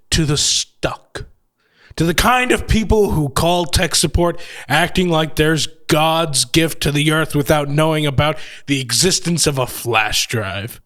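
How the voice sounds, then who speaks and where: An actor playing a gloating, sardonic killer who collects machines, with mocking, theatrically polite menace in an audio horror story. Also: male voice, on the high side, fairly bright tone.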